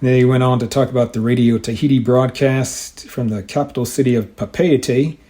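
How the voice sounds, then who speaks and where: A man hosting a radio hobby show, explaining things informally and a little haltingly in a casual monologue. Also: medium pitch, fairly even intonation, dark tone. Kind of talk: casual hobbyist monologue with some reading aloud.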